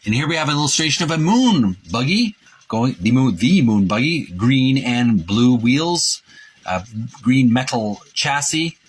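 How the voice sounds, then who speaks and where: A man presenting a book by reading its printed text aloud with commentary, in a halting, deliberate, itemizing way with pauses. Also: mid-range voice, sing-song, breathy edge. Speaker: presenter of a retro video game book.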